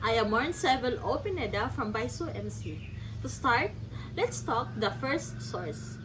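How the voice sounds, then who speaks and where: Female Filipino student presenter, lively pitch, scripted, recorded far from mic with noise. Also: measured pace. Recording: distant mic, clear background noise.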